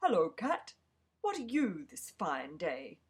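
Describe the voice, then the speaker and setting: A woman voicing a hopeful male donkey in a storybook, loud and cheerful. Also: lowish voice, huge pitch swings, takes it slowly, husky, full character voice. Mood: eager.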